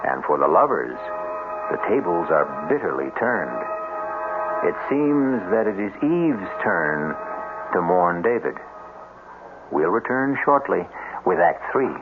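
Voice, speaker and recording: Storyteller, low voice, ominous, ornate radio narration, phone-like band. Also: male voice, very animated, slow pace, pauses often, heavily acted. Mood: ominous, theatrical. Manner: theatrical, ornate. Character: grave, ominous storyteller of a mystery tale. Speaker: host and narrator. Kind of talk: dramatic 1970s radio mystery narration. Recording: phone-like old radio broadcast. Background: music.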